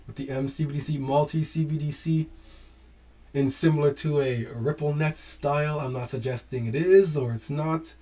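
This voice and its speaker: Male host, mellow tone, reads text aloud then riffs with eager asides to viewers. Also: medium pitch. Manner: animated, urging exclamations. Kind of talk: casual commentary while reading documents aloud.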